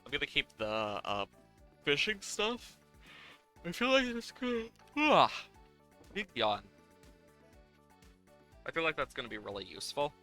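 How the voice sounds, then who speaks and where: Bright, lively man streaming a game. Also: on the high side, unhurried, pauses often. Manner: playful, hums and makes sound effects while talking. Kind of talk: casual live gameplay commentary, thinking aloud. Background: game music.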